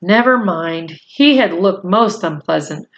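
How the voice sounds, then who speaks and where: A woman reading a children's novel aloud in a deliberate, measured storytelling manner. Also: on the low side, expressive, dull audio. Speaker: storybook reader.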